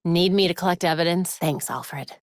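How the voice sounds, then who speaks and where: A woman voicing a young American superheroine in a video game, sharp, determined and dryly witty. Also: lowish voice, moderate pace, dry recording, natural voice. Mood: warm, soft. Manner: dry, wry asides.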